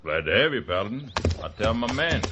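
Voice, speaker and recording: Low, gruff frontier marshal. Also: male voice, big pitch swings, a little fast, over music. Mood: businesslike. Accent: American. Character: gruff, plainspoken, folksy male frontier marshal. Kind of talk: old-time radio western acting. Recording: muffled, faintly noisy old radio recording.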